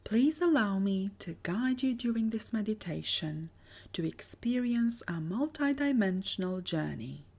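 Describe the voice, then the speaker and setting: A woman with a soft, breathy voice narrating a guided meditation in a soothing, measured manner. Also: mid-range voice, animated. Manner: soothing, measured, breathy delivery with lingering pauses.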